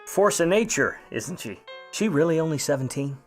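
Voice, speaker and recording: A man's voice: a little high, animated, normal speed, soft background music, natural voice. A man playing a wry 1950s private eye who talks and narrates in slangy old radio noir style. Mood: wry, flirtatious. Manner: wry, slangy, hard-boiled. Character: grudging wisecracking 1950s male private eye, hard-boiled narrator. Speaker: lead actor and narrator in a radio play.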